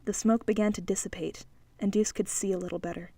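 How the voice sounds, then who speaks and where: A woman's voice: mid-range voice, steady tone, fast, warm tone, slightly rough, no room sound. A woman reading an audiobook, narrating scripted fiction in a dramatic style.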